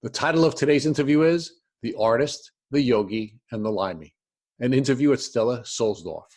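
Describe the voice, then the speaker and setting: A man's voice: mid-range voice, moderate pace, a little mellow. A man hosting an interview podcast, reading prepared introductions and putting questions to a guest.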